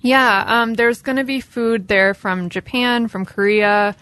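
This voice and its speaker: A woman's voice: on the high side, fairly even intonation, unhurried, a bit bright, no room sound. A woman working as a college administrator, explaining an event on a radio show with "um" fillers. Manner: informative, with "um" fillers. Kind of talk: radio interview guest.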